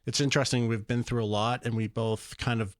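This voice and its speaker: A man's voice: mid-range voice, level tone, fairly quick, no room sound, studio quality. A man speaking casually on a panel about his own life, hesitantly searching for his words. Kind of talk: casual personal storytelling.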